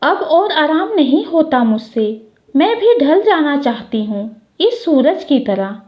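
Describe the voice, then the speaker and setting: Woman voicing a weary, high-voiced older sister. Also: sing-song, slow pace, muffled recording, full character voice. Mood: weary, despairing.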